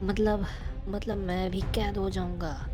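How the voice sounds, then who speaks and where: A woman's voice: medium pitch, little pitch movement, unhurried, music in the background, lightly acted. A woman voicing a frightened, stammering schoolboy in a cartoon story, pleading in panic. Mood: anxious. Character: frightened schoolboy pleading against cursed drawings.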